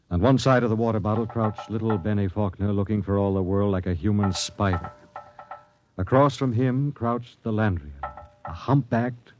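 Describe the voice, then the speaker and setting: Man playing a low-voiced, stern space patrol captain. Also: lo-fi sound, lightly acted. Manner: authoritative, measured. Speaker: captain and narrator. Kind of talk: 1950s radio drama acting. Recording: dry, band-limited 1950s radio recording.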